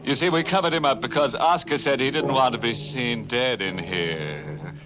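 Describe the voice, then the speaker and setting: An actor playing a ghoulish, sardonic horror host, theatrical and darkly humorous in 1940s radio style. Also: male voice, medium pitch, moderate pace, muffled recording, background noise, lightly acted. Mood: mock welcoming. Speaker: host and narrator. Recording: band-limited old radio with organ music.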